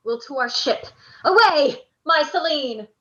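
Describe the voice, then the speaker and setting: A woman's voice: sits high, expressive, a little slow, pushed and bright, exaggerated voice. A woman playing a proud, passionate, lovesick male general in Shakespearean radio drama, with impassioned, declamatory delivery. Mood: defiant. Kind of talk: Shakespearean stage acting in a radio play. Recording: dull lo-fi audio.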